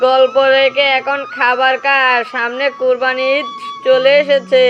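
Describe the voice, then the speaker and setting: A woman's voice: high-pitched, close to an everyday voice. A woman playing a practical, money-minded cattle farmer and father in a cartoon, talking in an insistent, explanatory way. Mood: bossy. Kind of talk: cartoon dialogue. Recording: dull lo-fi track under loud music.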